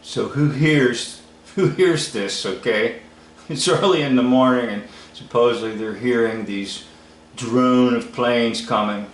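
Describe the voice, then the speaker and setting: Guy commenting off the cuff, slow n halting, roomy echo. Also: medium pitch, background noise. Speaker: video commentator. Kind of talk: casual, unscripted commentary. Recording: echoey room.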